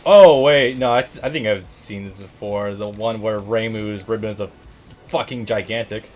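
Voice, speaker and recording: A man with a fairly low voice hosts a video game playthrough. He chats casually through his commentary, and game music runs quietly underneath. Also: moderate pace. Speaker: host of a gaming let's play. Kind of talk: casual gameplay commentary chat.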